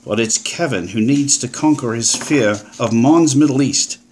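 An actor with a British accent playing a breezy, upbeat forty-year-old paleontologist full of old-fashioned slang. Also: male voice, mid-range voice, normal speed, darkish tone, slight background noise, natural voice. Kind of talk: audio drama acting.

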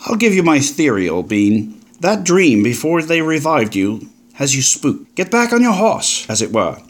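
An actor with a British accent playing a breezy, upbeat forty-year-old paleontologist full of old-fashioned slang. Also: male voice, fairly high pitch, sing-song, normal speed. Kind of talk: audio drama acting.

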